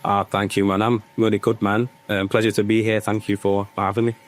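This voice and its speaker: Low, flat-voiced Mancunian man. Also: brisk. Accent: Manchester. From Manchester, England. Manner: relaxed and chatty, often tags "man". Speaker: podcast guest, business owner. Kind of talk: casual conversational interview.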